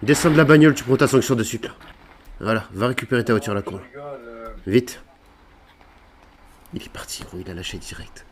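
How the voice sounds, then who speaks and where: A man's voice: medium pitch, expressive, fairly quick, pauses often. A man improvising game roleplay and chatting casually, playfully hamming it up with slang. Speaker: gaming video creator playing online roleplay.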